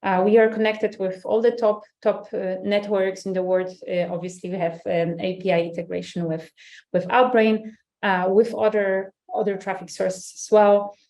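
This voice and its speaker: Woman, mid pitch, upbeat webinar host, casual and rambling. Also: flat delivery, moderate pace, darkish tone. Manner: upbeat, chatty, many fillers and restarts. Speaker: webinar host, head of marketing. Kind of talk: hosting an online webinar. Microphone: video call.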